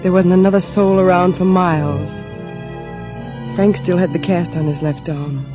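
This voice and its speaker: An actress playing an anxious, guilt-ridden wife in a 1940s radio drama, both narrating and speaking in character. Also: female voice, on the low side, steady tone, moderate pace, natural voice. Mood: haunted, subdued. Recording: dull vintage radio recording, music behind.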